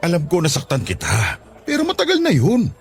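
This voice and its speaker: An excitable middle-aged man in a radio drama. His high voice swings dramatically up and down as he coaxes, cheeky and eager. Soft music sits behind him. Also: brisk, creaky, full character voice. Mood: pleading. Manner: coaxing, excitable. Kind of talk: Tagalog radio drama acting.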